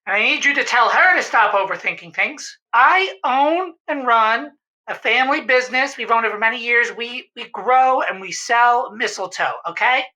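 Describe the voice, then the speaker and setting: Defensive shop owner, highish bright voice, pleading, melodramatic, insistent, comedy call-in. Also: female voice, expressive, normal speed, poor audio. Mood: desperate, insistent. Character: desperate, defensive mistletoe-shop owner, spouse of a fed-up wife. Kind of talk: improvised comedy radio call-in.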